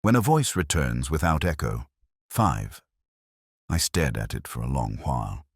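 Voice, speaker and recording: A man playing a weary, middle-aged literary police inspector, narrating his case in a measured, reflective detective-drama style. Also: very deep voice, big pitch swings, breathy edge, no room sound, studio quality, exaggerated voice. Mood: brooding, measured. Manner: measured, brooding, literary delivery. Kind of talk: scripted first-person detective narration.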